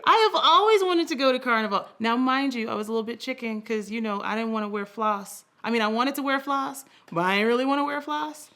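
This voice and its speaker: A woman speaking as a podcast guest in a casual conversation, telling stories in an animated way with slangy asides. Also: fairly high pitch, fast, few pauses.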